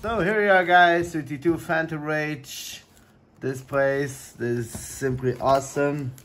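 A young man whose first language is Portuguese talks casually at a slow, easy pace, filling his sentences with filler words. He records at a desk mic in his home gaming room. Faint wrapper crinkling sits underneath his voice. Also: on the high side, slight background noise. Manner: chatty, lots of filler words, excitable outbursts. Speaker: card opening vlogger. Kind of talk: casual unboxing chat.

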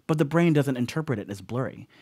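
An actor playing a sheepish young stoner house-sitter in an audio horror monologue, rambling apologetically with casual asides. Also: male voice, mid-range voice, animated, fast talker, darkish tone, breathy edge, no echo, studio quality. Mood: chatty, digressive.

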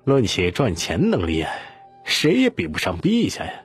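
A man performs a bewildered royal man in an audiobook. He questions and exclaims with wide swings of pitch in a fairly low voice. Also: huge pitch swings, moderate pace, faint music behind. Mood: admiring. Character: puzzled, doubtful royal man questioning his ruler.

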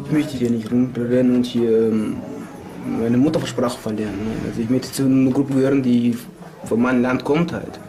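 A man's voice: mid-range voice, steady tone, normal speed, rough recording. A young man in prison talking casually in an interview, often adding little tag questions. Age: young adult. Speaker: inmate interviewee. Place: dark prison cell.

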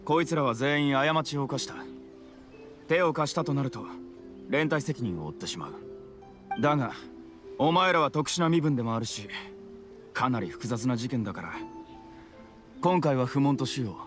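A man voices an officious male samurai officer from an anime game. His mid-pitched voice swings with emphasis as he speaks curtly and formally in a commanding way. Music plays behind him. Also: lively intonation, moderate pace, long pauses, close to an everyday voice. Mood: reproving. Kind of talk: Japanese anime game voice acting.

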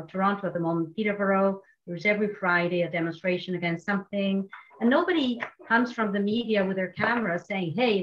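A woman's voice: medium pitch, fairly bright tone. A woman in her sixties from Argentina, with an Argentine Spanish accent, speaking animatedly on an online panel with many fillers and restarts. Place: home office with wall maps. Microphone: video call. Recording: slightly muffled video call audio.

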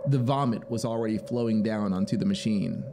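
A man's voice: fairly low pitch, fairly quick, background noise. A man in his thirties reading literary fiction aloud as a dramatic narrator, close to a studio microphone. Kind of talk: dramatic audiobook-style story narration. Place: small home music studio indoors. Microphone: close studio mic on boom arm.

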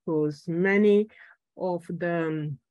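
A middle-aged woman from Angola, a native Portuguese speaker, gives a political talk on a video call, halting and searching for words. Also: fairly low pitch, darkish tone, no echo, lo-fi sound. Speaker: political party speaker at a conference. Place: room at home.

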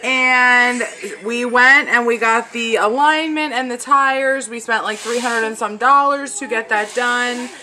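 A woman's voice: on the high side, fairly even intonation, a little slow, few pauses, sharp tone, slight background noise, low-quality recording. A woman in her twenties giving a rambling, casual vlog update from her home kitchen, filming herself on a phone held close. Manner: chatty, rambling, lots of fillers. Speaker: family vlogger. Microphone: phone camera held close.

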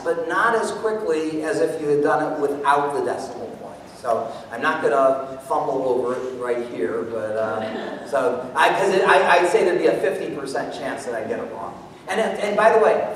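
A middle-aged American man giving a live talk on stage and taking audience questions, animated and jokey as he thinks aloud. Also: on the high side, normal speed, hardly any pauses. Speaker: guest speaker, mathematician performer. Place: theatre stage, indoors. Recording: echoey hall from far off, noisy.

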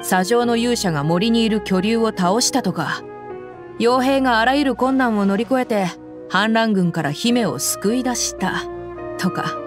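Game mercenary, young woman, blunt and easygoing, rough casual delivery, actress. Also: fairly high pitch, a little slow, music in the background, close to an everyday voice. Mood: tough. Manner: rough, casual, relaxed speech.

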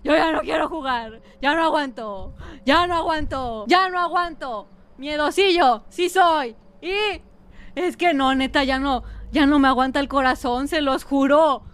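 A woman's voice: sits high, a little slow, a bit bright. A woman with a Mexican accent commentating a horror game as a streamer, dramatic and teasing, sometimes breaking into song. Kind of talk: gaming livestream commentary.

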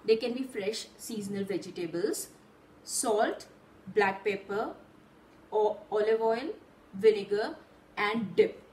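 A woman's voice: mid-range voice, slow, long pauses, a little mellow, a little hiss or hum, poor audio. A woman in her thirties, host of her channel, explaining a simple recipe step by step from a table in a small room. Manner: patient, step-by-step instruction. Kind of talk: cooking demonstration for parents.

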